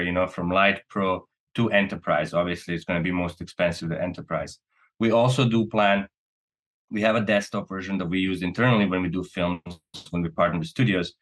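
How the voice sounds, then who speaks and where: A man's voice: deep voice, fairly bright tone. A man from Serbia talking casually and earnestly as a company co-founder being interviewed, often checking in with "right?".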